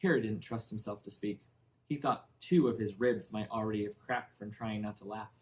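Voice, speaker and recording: A man reading a children's novel aloud in expressive, deliberately phrased storybook narration. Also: mid-range voice, a little hiss or hum, low-quality recording. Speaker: audiobook narrator.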